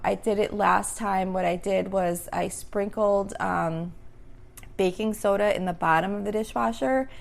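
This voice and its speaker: A woman's voice: lowish voice, creaky. A woman hosting a casual solo podcast, rambling with lots of "um" and "like", her voice a little worn as she starts to lose it. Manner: rambling, many fillers like "um" and "like". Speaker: podcast host.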